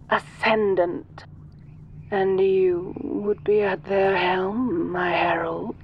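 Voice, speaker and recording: A woman voicing an ancient, godlike alien in a soft-voiced audio roleplay, sinister, seductive and imperious, speaking formally and silkily. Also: medium pitch, highs cut off, a little hiss or hum. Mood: grandiose, seductive. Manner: slow, imperious, formal, silky.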